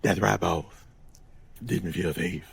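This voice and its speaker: A loyal, gruff gangster's henchman for an audio drama. His voice is very low and thick with gravel, badly husky, like a dutiful yes-man. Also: steady tone, slow pace, heavily acted. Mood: deferential. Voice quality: gravelly, raspy.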